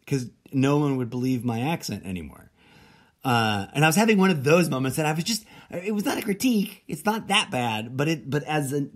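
A man who grew up speaking Spanish in Mexico, hosting a solo podcast and thinking out loud, rambling with lots of fillers and restarts. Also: medium pitch. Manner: rambling, self-interrupting, many fillers and restarts. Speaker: podcast host. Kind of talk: solo late-night musing podcast.

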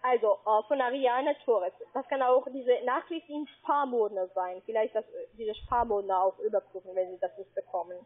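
Woman, Spanish accent, call-centre agent, broken German, husky, tinny phone line. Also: a little high. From Spain. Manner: broken German, spells letters out slowly and patiently.

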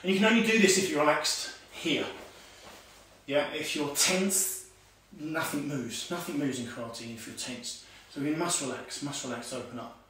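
A man's voice: on the high side, moderate pace, a bit bright, a little hiss or hum. A man in his forties teaching a karate lesson at home, explaining moves as he demonstrates them, with many "okay"s. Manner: talks while demonstrating moves, calls out commands. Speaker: karate instructor. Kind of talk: online martial arts lesson. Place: home room with tiled floor. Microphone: far camera on a stand. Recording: echoey room, voice from far off.

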